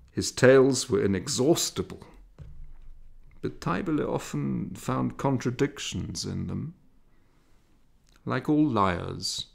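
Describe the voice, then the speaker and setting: A man narrating a literary story in a measured, dramatic storytelling manner. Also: medium pitch, gravelly. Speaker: audiobook narrator.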